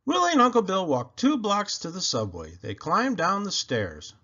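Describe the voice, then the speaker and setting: Male storybook narrator, bright, slightly husky, highly animated pitch, expressive, a little lo-fi. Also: moderate pace. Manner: expressive, emphatic. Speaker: children's book reader.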